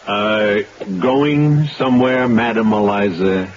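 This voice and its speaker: An actor playing a tough, unflappable police detective who speaks curtly and dryly. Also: male voice, fairly low pitch, slow. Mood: commanding. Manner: curt, dry, commanding. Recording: dull old radio broadcast.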